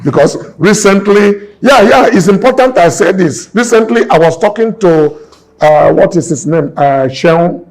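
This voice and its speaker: Man, 60s, somewhat high voice, emphatic preacher-like delivery, businessman guest. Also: expressive, a little slow, darkish tone, rough recording. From Nigeria. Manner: emphatic, preacher-like, repeats points. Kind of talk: impassioned interview talk.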